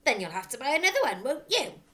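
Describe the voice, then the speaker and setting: Storybook bully dad, played by a woman: irate, middle-aged, contemptuous, barking loudly with big pitch swings. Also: very animated, fast, heavily acted. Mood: sneering.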